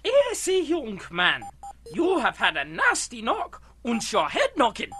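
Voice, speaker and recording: A woman's voice: on the high side, wildly swooping pitch, measured pace, fairly bright tone, full character voice. A woman playing an exasperated, pedantic professor in a comic radio space serial, theatrical and condescending, with faint noise behind. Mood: soothing. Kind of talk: parody old-time radio serial acting.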